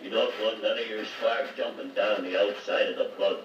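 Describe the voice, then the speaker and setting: A man's voice: lowish voice, fairly even intonation, moderate pace, slight background noise, lightly acted. An actor playing a folksy, confident American car mechanic with plain working-man speech in a 1950s training filmstrip. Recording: muffled, echoey 1950 record, distant, music behind.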